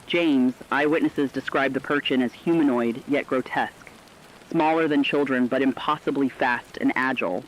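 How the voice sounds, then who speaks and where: A man acting as a solemn radio reporter, relaying eerie accounts in a measured, formal and foreboding horror narration. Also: medium pitch, a little hiss or hum, natural voice, low-quality recording.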